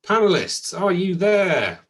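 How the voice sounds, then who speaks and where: A man's voice: sits high, sing-song, slow pace, a bit bright. Chatty man hosting an online event. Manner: chatty, thanks people, frequent ums and restarts. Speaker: event host.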